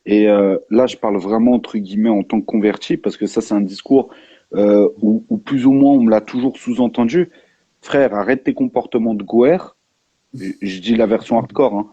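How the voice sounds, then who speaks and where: A man giving casual personal testimony, halting and emphatic, repeating his phrases as he goes. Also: lowish voice, fairly even intonation, warm tone, slightly rough. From France. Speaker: live discussion participant. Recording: muffled, echoey lo-fi live stream.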